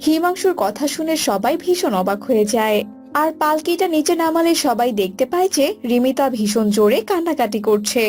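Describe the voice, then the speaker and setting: High-pitched woman narrating a cartoon story. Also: lively intonation, normal speed, darkish tone. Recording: music bed behind voice.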